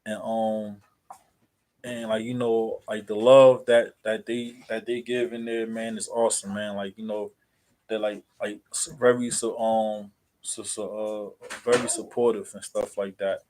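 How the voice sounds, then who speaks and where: Man, American accent, narrow pitch range, halting rambling speech, casual livestream host. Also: flat delivery, a little mellow. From New Jersey, USA. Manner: halting, repetitive, filler-heavy rambling.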